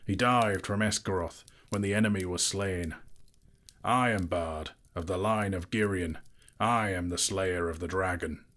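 A man voicing a fierce, proud bowman hero making a slow declaration. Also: low-pitched, fairly bright tone.

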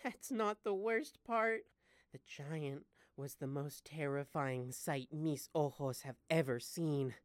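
A woman voicing a traumatized, earnest little candy boy who speaks haltingly and dramatically in an audio drama. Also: low voice, dry recording, clean studio sound. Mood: dread-filled. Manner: halting, dramatic horror-struck telling.

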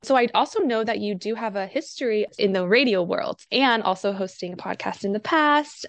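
A woman's voice: medium pitch, dry recording. A woman hosting her own podcast, asking long, wandering questions with enthusiasm and spiritual wording. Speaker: podcast host.

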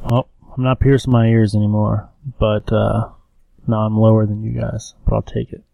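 A man's voice: fairly low pitch, level tone, normal speed, gravelly, mellow, dry recording. A man co-hosting a podcast in casual chat, dropping dry, teasing one-liners. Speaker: podcast co-host, teacher.

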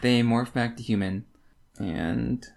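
Creaky-voiced man in his twenties. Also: medium pitch, slow pace, darkish tone. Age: 20s. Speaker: podcast co-host. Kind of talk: casual book discussion chat.

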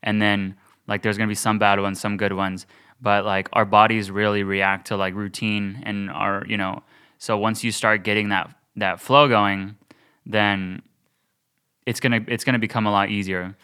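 A man's voice: on the low side, little pitch movement, moderate pace, bright, forceful tone, clean tone. A young man co-hosting a podcast, talking earnestly and thinking aloud with many restarts. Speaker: podcast co-host. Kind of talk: casual podcast chat.

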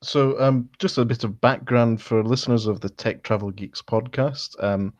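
A man's voice: mid-range voice, moderate pace, a little mellow, no echo. A man hosting a podcast, asking questions in a friendly, hesitant way, with many restarts. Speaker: podcast host. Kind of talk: casual interview.

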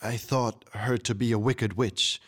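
A man's voice: mid-range voice, fairly even intonation, measured pace, lightly acted. A man voicing a dutiful tiger warrior guard in a storybook, formal and submissive before royalty. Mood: apologetic.

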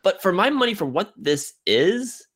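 A man hosting a casual film discussion, speaking haltingly and often repeating words as he works toward his point. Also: high voice, big pitch swings, fairly bright tone, husky. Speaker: film podcast host.

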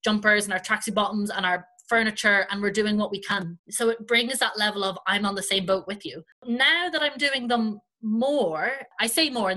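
Bright Irish woman in podcast chat. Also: medium pitch. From Ireland. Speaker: podcast host and children's performer.

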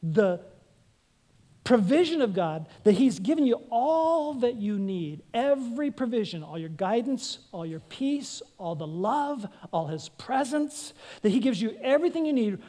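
Woman pastor preaching, kinda high voice, earnest, keeps asking the crowd questions. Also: very animated, a little slow, mellow. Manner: earnest, asks the congregation questions. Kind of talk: sermon.

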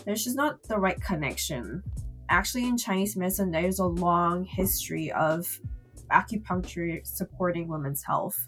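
A woman from Singapore, a guest acupuncturist, answering interview questions in a relaxed way with easy laughs. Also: fairly low pitch, moderate pace, soft background music.

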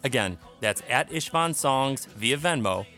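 A man's voice: medium pitch, animated, unhurried, bright, forceful tone, faint music behind. A man hosting a children's comedy podcast, moving between storytelling and casual chat.

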